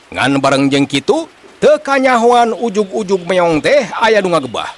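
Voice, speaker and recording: A man with a Sundanese accent telling a comic folk tale in an animated storytelling style. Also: sits high, measured pace.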